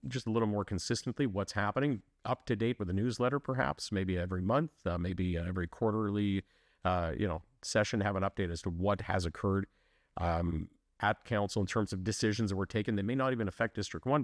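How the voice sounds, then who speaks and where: A man's voice: lowish voice, fast talker, no room sound, studio quality. A man running for local council, answering interview questions earnestly, with frequent fillers and restarts. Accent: Canadian English. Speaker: municipal election candidate.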